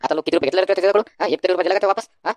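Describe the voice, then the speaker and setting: A man's voice: high voice, rapid-fire, no echo, lightly acted. A man voicing a confident, take-charge young village friend in a comedy cartoon, colloquial, with a Varhadi Marathi accent. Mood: scolding.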